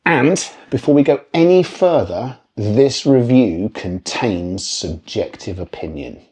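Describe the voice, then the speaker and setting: A man's voice: medium pitch, animated, takes it slowly, a little mellow. A man presenting an informal product review, speaking in a wry, dryly humorous and opinionated way.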